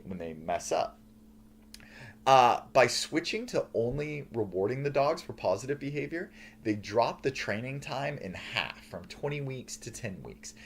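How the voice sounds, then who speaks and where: A male professor with a loud, booming voice, giving an earnest lecture on feedback with lots of "uh" and "um" fillers. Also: a little hiss or hum. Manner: earnest, lively, frequent "uh" and "um" fillers.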